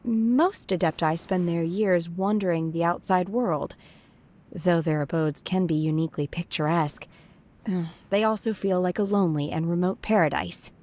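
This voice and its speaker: An actress playing a stoic, reserved young female exorcist in a video game, speaking formally and with soft hesitance. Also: lowish voice, lightly acted. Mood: reserved, polite. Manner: measured, formal, softly hesitant, detached. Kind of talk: video game character voice lines.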